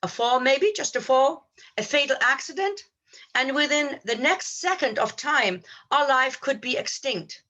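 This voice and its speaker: Bright, high-voiced woman reflecting earnestly. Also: expressive, measured pace, muffled recording. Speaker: podcast co-host. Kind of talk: reflective spiritual talk.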